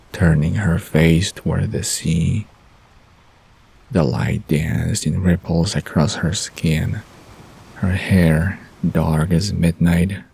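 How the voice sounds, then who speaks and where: A man's voice: low voice, creaky, mellow, husky, poor audio. A man narrating a scripted romantic bedtime story as the narrator of an audio drama.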